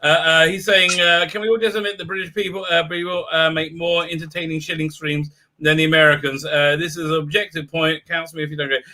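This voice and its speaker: A man hosting a casual livestream, excitable, interrupting with lots of stammered fillers. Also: a little high, fast talker, hardly any pauses, rough recording. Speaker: livestream host. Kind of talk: casual chat.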